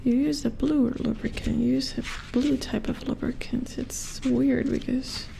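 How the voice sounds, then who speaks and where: A woman playing a puzzled, mildly grumbling robot repair engineer in a soft-spoken ASMR roleplay, hushed and halting as if muttering to herself. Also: high-pitched, steady tone, vocal fry, a little hiss or hum, exaggerated voice, low-quality recording. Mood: puzzled, focused.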